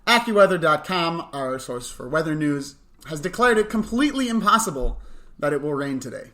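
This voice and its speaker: Bright-voiced young man, dry and mock-serious. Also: fairly high pitch, lively intonation, fairly quick. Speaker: co-host of a camp morning show podcast. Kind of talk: chatty news and mailbox segment.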